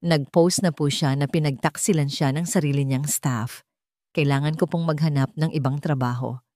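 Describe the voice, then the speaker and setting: A woman's voice: deep voice, steady tone, normal speed, darkish tone, no room sound, studio quality, pitched below the performer's own voice, natural voice. A woman with a Filipino accent voicing an anxious, deferential nanny in an audio story, polite but firm. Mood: apologetic, firm.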